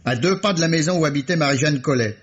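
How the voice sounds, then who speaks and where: A man's voice: on the high side, fast, husky. An actor playing an eager, deferential police officer reporting to his superior in a French radio mystery. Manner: apologetic, eager. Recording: muffled vintage radio recording.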